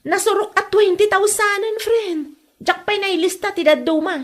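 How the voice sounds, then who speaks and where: A woman's voice: very high voice, normal speed. A woman with an Ilocano Filipino accent playing an aggrieved girlfriend in a comedy audio drama. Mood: indignant. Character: aggrieved girlfriend demanding her money back.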